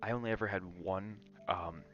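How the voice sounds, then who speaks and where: A man's voice: low voice, moderate pace, fairly bright tone, dull audio. A man chatting casually on an ASMR gaming livestream, rambling and drifting between chat and game reactions. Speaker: game streamer.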